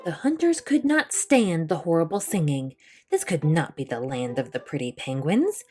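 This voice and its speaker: A woman reading a children's picture book aloud as a storyteller, with expressive delivery. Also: low voice, normal speed, faint music behind.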